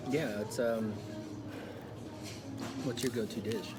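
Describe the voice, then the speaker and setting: A man's voice: medium pitch, steady tone, slow. A man hosting a casual meal chat, laid-back with short replies and frequent laughs. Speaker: host. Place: restaurant table. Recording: loud background music.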